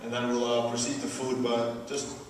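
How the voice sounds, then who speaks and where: A man hosting a community gathering, making informal announcements in a somewhat halting way. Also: medium pitch, little pitch movement. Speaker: event host introducing speakers. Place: large indoor hall at an Islamic center. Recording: echoey hall, distant mic, faint noise.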